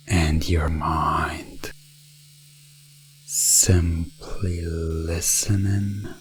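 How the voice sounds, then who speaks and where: A man performing a hypnosis ASMR roleplay as a professional dominant, commanding and deliberate, with a hushed voice that often drops into whispers. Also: deep voice, slight background noise. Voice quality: breathy, hushed. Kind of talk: hypnosis ASMR roleplay, slow guided monologue.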